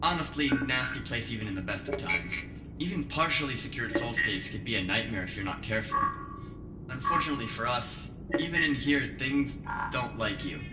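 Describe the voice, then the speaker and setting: An actor playing a brash, sardonic cyberpunk hacker guide, talking casually in an audio drama. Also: male voice, mid-range voice, lively intonation, brisk, close to an everyday voice. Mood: wry, explaining. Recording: echoey space, very loud music. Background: loud music.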